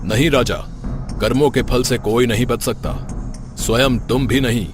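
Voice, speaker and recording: A man's voice: mid-range voice, fairly even intonation, moderate pace, natural voice. A man voicing a mysterious double of the king who solemnly pronounces fate in a cartoon story, over background music. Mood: ominous. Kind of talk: cartoon voice acting.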